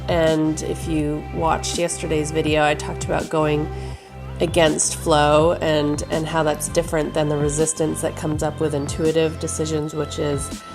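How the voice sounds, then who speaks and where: Hoarse woman chatting about intuition. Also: deep voice. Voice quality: raspy. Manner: chatty, loose, with fillers and restarts. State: hoarse, froggy from allergies. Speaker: intuition teacher. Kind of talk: casual talking-head advice video.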